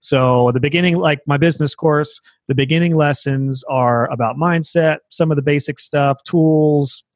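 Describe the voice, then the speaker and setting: A man's voice: fairly high pitch, normal speed, dry recording. Man explaining casually, dry close sound. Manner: conversational, explains at length with fillers and restarts. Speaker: web design course creator. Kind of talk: casual podcast conversation.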